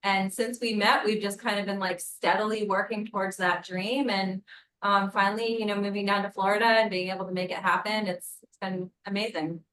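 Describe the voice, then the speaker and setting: A woman's voice: medium pitch, steady tone, brisk, a bit bright, roomy sound, rough recording. A woman from New Hampshire, a personal trainer and gym co-owner, explaining earnestly. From New Hampshire, United States. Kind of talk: casual interview talk.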